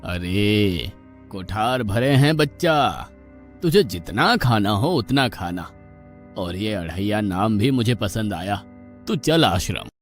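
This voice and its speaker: A man voicing a pious, patient but gently exasperated ashram guru who coaxes in a measured way in a moral story. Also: lowish voice, sing-song, faint music behind. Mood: welcoming. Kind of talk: animated moral story dialogue in Hindi.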